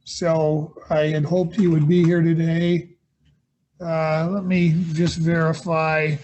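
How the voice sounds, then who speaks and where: A man presiding as judge in a court hearing held over a video call, speaking in a measured, hesitant way with many fillers. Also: a little high, little pitch movement, darkish tone, dull audio.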